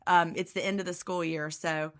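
A woman co-hosting a podcast, a teacher whose voice is slightly hoarse, talking in a friendly, chatty way with some restarts. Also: lowish voice, steady tone, moderate pace, a bit bright. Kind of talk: casual podcast chat.

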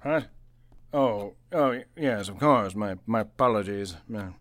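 A man's voice: lowish voice, unhurried, no room sound, studio quality, close to an everyday voice. Man playing blustering, absent-minded office boss. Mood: flustered. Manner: flustered, hesitant, stammering. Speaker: actor in a radio play. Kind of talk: old-time radio play acting.

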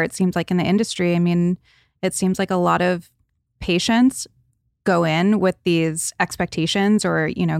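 A woman's voice: lowish voice, no room sound, studio quality. A woman hosting a podcast, asking questions in a chatty, casual way. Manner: chatty, many affirming "mm-hmm"s. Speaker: podcast host.

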